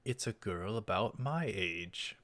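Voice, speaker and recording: A man's voice: lowish voice, expressive, slow pace, fairly bright tone. A man doing a game playthrough, reading the story text aloud and adding casual asides. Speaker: let's play host. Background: game music.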